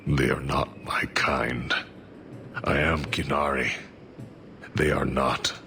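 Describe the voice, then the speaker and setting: A huge, devout horned warrior mage from a fantasy video game. The voice is extremely deep and slow, with a bright, forceful edge. The phrasing is halting, stoic and terse. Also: male voice, fairly even intonation, gravelly, slight background noise, heavily acted. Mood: resolute. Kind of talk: video game cutscene acting.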